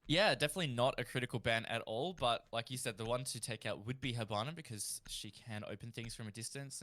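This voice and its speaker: A man's voice: medium pitch, fairly bright tone, no echo. A man with an Australian accent giving live esports analysis as a colour commentator, in a conversational way.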